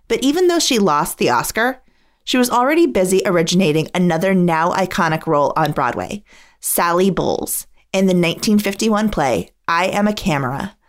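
A woman reading scripted biographical narration as the host. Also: lowish voice.

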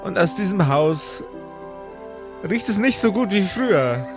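A man narrating a tabletop role-playing game as game master, with measured, deliberate storytelling phrasing. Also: high-pitched, sing-song, over music.

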